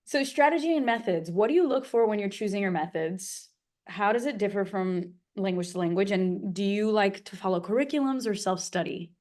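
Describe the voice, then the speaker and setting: A woman co-hosting a casual talk about learning languages, animated and thinking aloud with quick fillers. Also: medium pitch. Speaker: polyglot co-host. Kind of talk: casual conversation.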